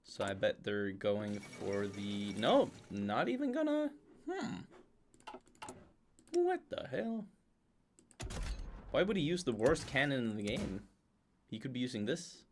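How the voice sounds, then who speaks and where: A man's voice: medium pitch, huge pitch swings, slow pace, pauses often, slight background noise. A man giving casual live commentary on a strategy game, thinking aloud as he goes.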